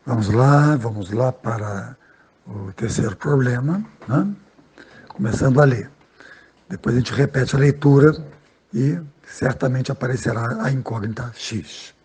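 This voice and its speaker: Very husky-voiced man teaching math. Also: mid-range voice, measured pace, a little mellow. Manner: patient, explanatory, frequent "né" and "ok?" checks. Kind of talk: step-by-step lesson narration.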